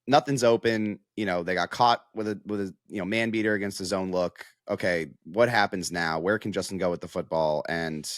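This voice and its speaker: A man's voice: low voice, a little fast, few pauses, no echo, studio quality. A man speaking as a guest sports analyst, explaining things in a casual, conversational way.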